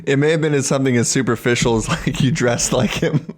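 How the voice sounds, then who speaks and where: A man's voice: mid-range voice, quick pace. Male podcast co-host, thirties, loose conversational delivery, crude humor, plenty of swearing. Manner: crude, joking, swears freely. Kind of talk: casual chat.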